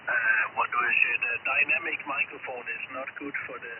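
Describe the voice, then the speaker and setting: A man chatting as a ham radio operator, halting and repeating his phrases, heard through a radio transmission. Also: medium pitch, steady tone. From Scandinavia. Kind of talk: ham radio contact chat. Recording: narrow, hissy, noisy shortwave radio link.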